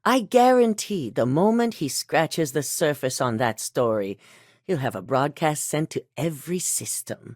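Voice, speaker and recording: Cool corporate executive. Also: female voice, low-pitched, darkish tone, dry recording, studio quality. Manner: measured, formal and authoritative. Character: composed corporate executive woman, cool and commanding. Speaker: corporate executive giving assignments. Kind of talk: video game voice acting.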